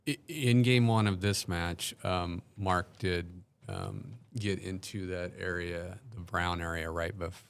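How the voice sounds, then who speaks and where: A man's voice: low voice, steady tone, slow pace, vocal fry, hardly any pauses, smooth. A man doing casual play-by-play commentary, describing each move step by step and hesitating often with ums.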